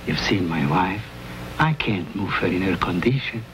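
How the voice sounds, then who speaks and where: Anxious immigrant husband, noisy old western. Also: male voice, low voice, lively intonation, normal speed. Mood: earnest. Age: middle-aged. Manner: earnest, worried. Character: anxious Austrian immigrant squatter, devoted husband. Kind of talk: 1950s television western acting.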